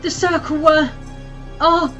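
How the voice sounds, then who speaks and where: An actress playing a shaken but defiant young alchemist and vampire hunter, dramatic and distraught, in an audio drama. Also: female voice, high voice, fairly even intonation, slow, fairly bright tone, highs cut off, faint music behind. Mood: shocked denial. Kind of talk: fan audio drama acting.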